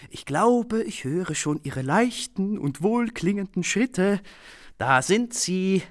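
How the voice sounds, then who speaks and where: Bourgeois master of the house, radio drama, theatrical indignation, high-pitched with swooping intonation. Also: male voice, lively intonation, slow pace, slight background noise. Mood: sarcastic. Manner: pompous, theatrical. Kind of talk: German radio play acting.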